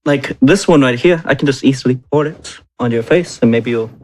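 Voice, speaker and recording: Casting: male tabletop player, medium pitch, casual banter slipping in and out of character. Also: fairly quick, darkish tone.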